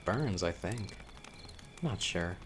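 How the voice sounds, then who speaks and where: A man playing a young newcomer telling eerie local legends by a campfire in a horror audio roleplay, conversational and teasing with dramatic pauses. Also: deep voice, lively intonation, background noise. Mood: casual, intrigued. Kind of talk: casual spoken storytelling in horror audio roleplay.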